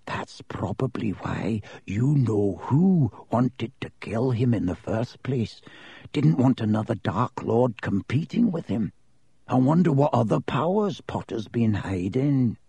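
A man's voice: fairly high pitch, very animated, a little slow, breathy edge, dry recording, heavily acted. A man voicing a pompous, fearful, suspicious schoolboy in a storybook, with a stubborn, mysterious manner. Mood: hushed, mysterious. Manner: hushed, mysterious to quavering and stubborn.

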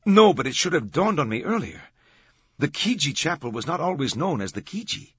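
A man's voice: on the high side, big pitch swings, fairly quick, fairly bright tone, breathy edge, no room sound, highs cut off, pitched above the performer's own voice, exaggerated voice. A man voicing a scornful, theatrical church docent in an audiobook. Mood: eager. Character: horrified, scoffing Italian male church docent.

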